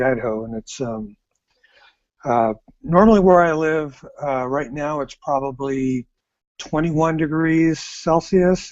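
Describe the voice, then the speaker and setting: A man with an American accent, a guest on an online call, talking in an easygoing, rambling way. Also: medium pitch. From United States. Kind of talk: casual chat. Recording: dull lo-fi call audio.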